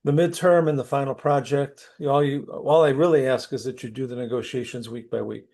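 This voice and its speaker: A middle-aged man with a cold, his voice going, runs an online class over a computer mic. He talks in an easy, conversational way, clears his throat often and restarts his sentences. His pitch sits in a middle range. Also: fairly even intonation, a little fast, darkish tone. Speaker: university instructor. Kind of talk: opening a class session online. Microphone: computer mic on a video call.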